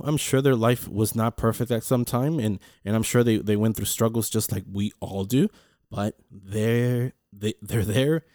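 A man hosting his own podcast with a deep voice, talking earnestly in a rambling, reflective way, often repeating words and restarting his sentences. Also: darkish tone, no echo, clean studio sound. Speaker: podcast host. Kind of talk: casual reflective monologue.

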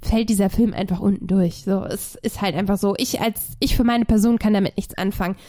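A woman's voice: medium pitch, fairly even intonation, brisk, warm tone. A woman who has a cold, casually reviewing a film as a podcast co-host, rambling with many fillers.

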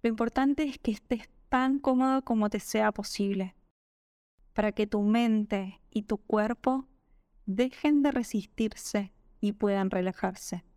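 Slow, smooth Rioplatense woman guiding meditation. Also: mid-range voice, no echo, studio quality. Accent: Rioplatense Spanish. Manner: gentle, calming, unhurried instruction. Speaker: yoga nidra meditation guide. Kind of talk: guided meditation narration.

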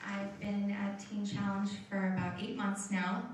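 A woman's voice: mid-range voice, monotone, a little slow. Candid woman in her thirties, echoey. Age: 30s. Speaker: program resident giving testimony. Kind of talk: church testimony. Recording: distant mic, heavy room echo, faint noise.

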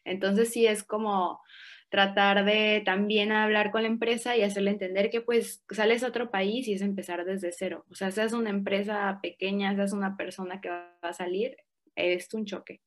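Woman, young adult, Mexican accent, interview guest, relaxed, reflective, filler-heavy, middle pitch. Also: normal speed. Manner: relaxed, reflective, frequent fillers like "o sea" and "como". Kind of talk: casual interview answers.

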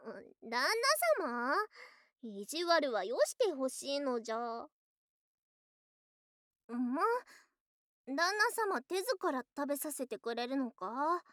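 A voice actress playing an affectionate snake-spirit wife in a Japanese ASMR voice drama, with archaic, coy, excitable speech and open devotion. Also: female voice, high-pitched, slow, pauses often, no echo, clean studio sound, full character voice. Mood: playful, coy. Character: affectionate female lamia snake-spirit wife, girlish yet old-fashioned.

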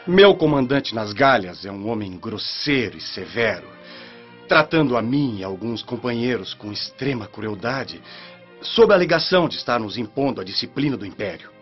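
An actor playing a devoted young Roman soldier and adopted son in a radio drama, speaking in an earnest, respectful, formal way. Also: male voice, medium pitch, lively intonation, moderate pace, natural voice. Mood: pleading. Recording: muffled lo-fi, music underneath.

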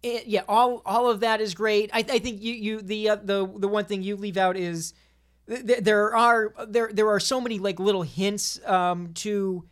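A man's voice: sits high, steady tone, normal speed, smooth. A man in casual podcast discussion, speaking enthusiastically and often stammering over and repeating his words.

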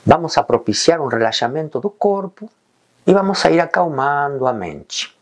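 A man leading a guided meditation in calm, gentle short phrases with long pauses. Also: on the high side.

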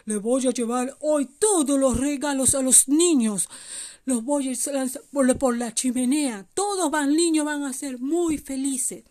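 A woman acts out a kindly old male Santa in a children's tale. The voice is high for the part. The manner is jolly, hearty and reassuring. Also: measured pace, mellow. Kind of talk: children's storytelling character voice.

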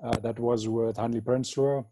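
A man in his thirties from South Africa tells his story in a relaxed, rambling way. His voice stays flat and mellow, with little pitch movement. The room sounds dry and close. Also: normal speed, no echo. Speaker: guest, freediving instructor. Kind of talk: casual interview.

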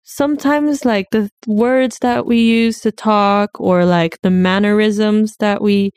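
A woman's voice: medium pitch, fairly even intonation, slow, darkish tone, clear, resonant tone, no echo. A young woman from mainland China chatting casually, hesitant and full of fillers. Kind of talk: casual chat.

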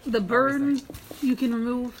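A woman's voice: on the high side, steady tone, measured pace, a little hiss or hum. A woman running a tabletop game as game master, giving rulings with some hesitation and chuckles.

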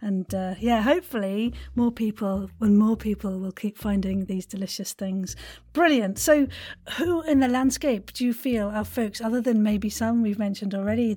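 A woman's voice: mid-range voice, a little mellow. A woman hosting a chatty interview podcast, effusive and encouraging in how she talks. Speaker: podcast host interviewing a guest.

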